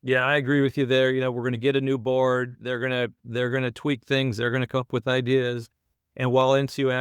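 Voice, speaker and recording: Brief: male host in his 60s, smooth resonant mid-range voice, chatty with restarts. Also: mid-range voice, fairly bright tone, dry recording, studio quality. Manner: conversational, frequent restarts and fillers. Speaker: podcast host. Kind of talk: interview conversation.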